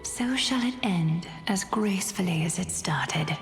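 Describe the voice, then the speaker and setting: A woman's voice: lowish voice, expressive, unhurried. A woman voicing an elegant, noble weaver in a video game, poised and poetic. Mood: poised, solemn. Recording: long reverb, music underneath.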